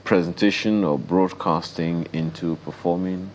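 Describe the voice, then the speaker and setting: A man's voice: low voice, steady tone, unhurried, a little hiss or hum, low-quality recording. A man co-hosting a television interview in a casual, teasing, bantering way. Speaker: TV co-host, interviewer. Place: television studio.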